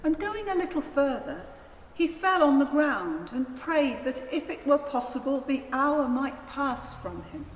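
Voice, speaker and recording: A woman's voice: high-pitched, lively intonation, unhurried. A woman narrating a dramatised Gospel in a formal, declamatory reading style. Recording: echoey church hall, faint noise.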